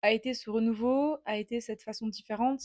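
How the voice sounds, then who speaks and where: A woman in her twenties with an accent from France, talking candidly into a small clip-on mic and often pausing to hesitate. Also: a little high, steady tone, darkish tone, dry recording, studio quality. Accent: French from France. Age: 20s. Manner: reflective, candid, many hesitations. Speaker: vlogger. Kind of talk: casual personal monologue.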